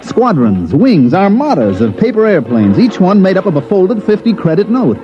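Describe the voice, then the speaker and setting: A man's voice: sits high, huge pitch swings, moderate pace. An American actor playing a dry, wisecracking special agent who narrates his case in a 1950s radio science fiction drama. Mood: marvelling. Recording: dull vintage radio recording, music behind.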